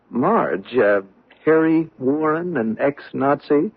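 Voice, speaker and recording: An actor playing an affable, unsuspecting husband in a radio drama, easygoing and casually hesitant. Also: male voice, on the high side, expressive, drawn-out words, slightly rough, exaggerated voice. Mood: amused, placating. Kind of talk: old-time radio drama acting. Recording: tinny, band-limited vintage radio broadcast.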